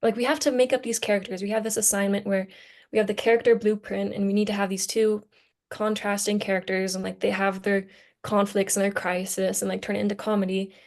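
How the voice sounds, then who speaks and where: A woman's voice: medium pitch, flat delivery, fairly quick, darkish tone. A young woman talking casually as co-host of a student podcast discussion. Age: young adult.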